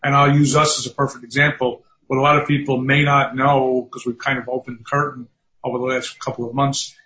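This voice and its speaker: A man's voice: medium pitch, flat delivery, fairly quick, sharp tone. An older man with a New York accent, a recruiting firm founder talking as a podcast guest over a remote call, chatty with dry self-deprecating asides. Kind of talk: podcast interview. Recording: dull lo-fi remote audio.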